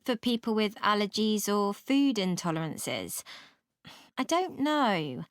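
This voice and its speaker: A woman playing a student in a scripted, measured discussion, giving her opinions deliberately. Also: medium pitch, sing-song, no echo, clean studio sound. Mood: opinionated. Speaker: voice actor in a listening exam dialogue.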